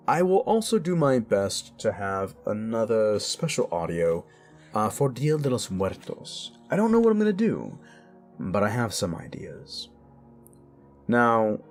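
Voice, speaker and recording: Chatty, upbeat man with a low voice. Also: a little mellow. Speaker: audio story creator. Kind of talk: casual update to listeners.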